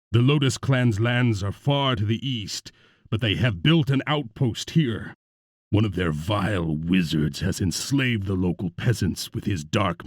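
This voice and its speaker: An actor playing a seasoned martial advisor with a husky voice, briefing his lord in a video game. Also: low-pitched, dry recording, studio quality.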